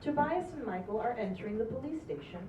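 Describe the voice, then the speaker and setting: A woman's voice: a little high, animated, fast talker, dark tone. A woman reading stage directions as scripted narration at a table read. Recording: echoey, dull, noisy, distant room recording.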